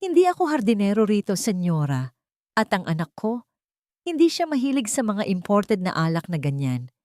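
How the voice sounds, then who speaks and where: Woman doing an elderly father, gentle, dignified, calm, respectful, storybook character, dry close sound. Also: medium pitch, normal speed, no echo, voice pushed up, natural voice. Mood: quietly amused.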